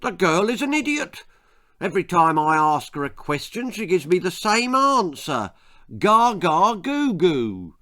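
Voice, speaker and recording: A man's voice: high-pitched, sing-song, measured pace, pushed and bright, pitched above the performer's own voice, exaggerated voice. Guy doing a puffed-up silly storybook dad, vain n indignant, voice swoops all over. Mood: exasperated ranting. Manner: self-important, blustering, indignant. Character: pompous, vain, foolish forty-year-old father.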